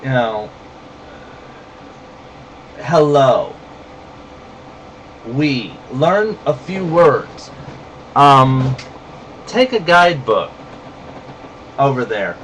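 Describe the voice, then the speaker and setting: A man's voice: mid-range voice, very animated, very slow, long pauses, a bit bright, distant mic, slight background noise, poor audio. A man with a Southern US accent, ranting bluntly and saltily to camera in a heated monologue. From rural southern United States. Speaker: vlogger.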